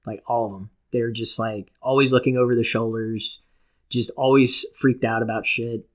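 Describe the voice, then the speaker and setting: A man's voice: medium pitch, level tone, moderate pace. A man chatting casually as a podcast co-host, telling stories in a profane, self-mocking way. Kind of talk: casual chat, storytelling.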